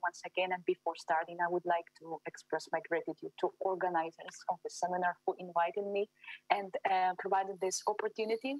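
A woman's voice: fairly low pitch, no room sound, poor audio. A woman in her thirties from Siberia, presenting her research in a webinar over a video call, formal and careful, with frequent fillers and restarts. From Russia, Siberia. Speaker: academic presenter. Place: small room at home.

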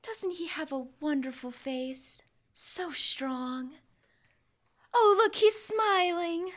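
High, lovestruck young woman played by a student actress. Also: takes it slowly. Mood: gushing. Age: young adult.

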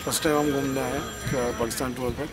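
Middle-aged man, mid pitch, halting tourist, recorded outdoors. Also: fairly even intonation, over loud music. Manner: halting, with pauses and restarts. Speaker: visiting tourist. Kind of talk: documentary interview. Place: outdoors at a mosque plaza.

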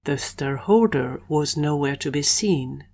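A woman with a slightly low voice acts as narrator. She reads scripted prose for an audio drama. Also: animated, unhurried, creaky, darkish tone.

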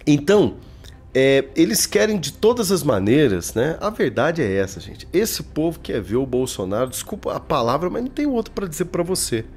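Husky Brazilian man commenting on politics. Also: fairly high pitch, sing-song, normal speed, a little mellow. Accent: Brazilian Portuguese. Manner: colloquial, emphatic, addressing viewers directly. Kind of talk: informal opinionated political commentary to camera. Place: small indoor room, home studio. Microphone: desk microphone.

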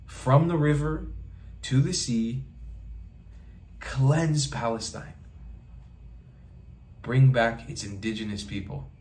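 A man's voice: mid-range voice, takes it slowly, long pauses, darkish tone. Emphatic man speaking straight to camera. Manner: emphatic, repeats phrases for rhetorical effect, gestures with hands. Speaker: travel vlogger. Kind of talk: impassioned direct-to-camera monologue. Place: small bedroom indoors.

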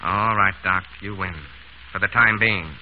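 An actor playing a tough, sardonic gambling racketeer in an old-time radio drama, talking in curt slang. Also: male voice, lowish voice, steady tone, measured pace, close to an everyday voice. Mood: grudging. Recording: muffled, noisy vintage radio recording.

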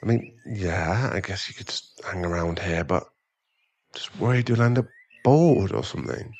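A man playing an irritable, weary sugar daddy boyfriend who wants space, in a halting, sighing ASMR roleplay audio drama. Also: deep voice, darkish tone, dull audio. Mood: hesitant, placating.

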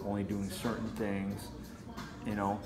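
Low-voiced man explaining in an echoey gym. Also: monotone, measured pace. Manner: conversational, explanatory, frequent "you know". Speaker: martial arts fighter and trainer being interviewed. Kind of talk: casual interview talk. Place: martial arts gym with mats, indoors. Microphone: camera a few feet away. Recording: echoey room, faint music behind.

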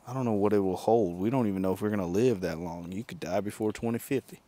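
A young man in his thirties hosting a casual comedic podcast on a backyard patio, holding a handheld mic, animated and profane, bursting into silly mock singing. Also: fairly low pitch, fast talker. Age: young adult. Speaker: podcast host.

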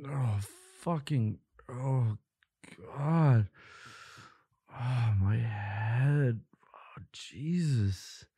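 A man playing a devoted, protective boyfriend in a dramatic audio roleplay, with an emotive, halting delivery. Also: mid-range voice, warm tone, husky, dry recording, exaggerated voice. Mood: pained, dazed. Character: devoted boyfriend of a vampire, protective, frantic, defiant.

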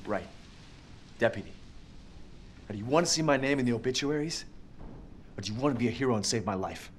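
An actor playing a frightened, sarcastic civilian in a horror video game, urgent and exasperated. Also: male voice, mid-range voice, lively intonation, fast, slight background noise, lightly acted. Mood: exasperated urgency. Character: desperate, frightened, sarcastic male civilian trapped by killers. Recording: faint background ambience.

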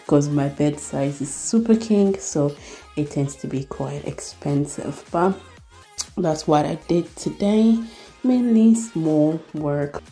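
A young woman vlogger chatting casually in a voice-over, easygoing and laughing often. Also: low-pitched. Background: soft background music.

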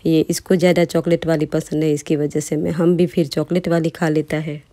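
A woman's voice: low voice, flat delivery, brisk, warm tone, no room sound. A young adult woman narrating a casual vlog in a chatty, explanatory way, talking directly to her viewers. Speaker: vlogger. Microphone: handheld phone.

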